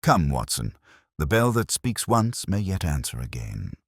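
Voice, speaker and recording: A man's voice: a bass voice, very animated, unhurried, slightly rough, no room sound, clean studio sound, exaggerated voice. A man voicing a keen, commanding detective with precise, theatrical, coolly triumphant delivery in an audio story. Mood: resolute.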